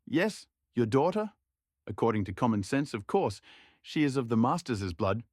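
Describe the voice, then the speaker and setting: A man's voice: medium pitch, sing-song, moderate pace, dry recording, studio quality, pitched above the performer's own voice. A man playing a frightened, stubborn young seminary student in a story, pleading and protesting. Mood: fearful.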